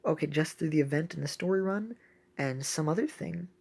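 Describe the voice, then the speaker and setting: Woman, lowish husky voice, casual gameplay commentary, excitable, thinking aloud. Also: fairly quick. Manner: excitable, exclaiming often; thinks aloud while playing. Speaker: gaming video host.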